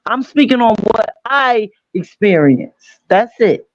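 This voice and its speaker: A woman's voice: fairly high pitch, animated, takes it slowly, no echo, highs cut off. A woman from Trinidad, a caller in a casual live chat, insistently repeating her point in a heated debate.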